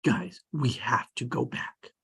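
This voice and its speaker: A performer playing an earnest, determined but hesitant teenage boy, with a husky, breathy voice. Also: mid-range voice, takes it slowly, exaggerated voice. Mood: hushed, determined.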